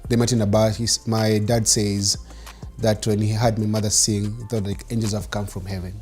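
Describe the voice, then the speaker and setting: A man's voice: on the low side, flat delivery, normal speed, darkish tone, husky, soft background music. Kenyan man in his forties telling stories. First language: Swahili. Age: 40s. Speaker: interview guest. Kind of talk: telling life story, conversational.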